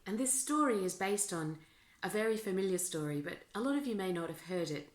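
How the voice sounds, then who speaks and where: Woman storyteller in an echoey room. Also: on the low side. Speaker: storyteller reading a Christmas poem aloud. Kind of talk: expressive read-aloud of rhyming children's verse. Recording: roomy, echoing indoor sound.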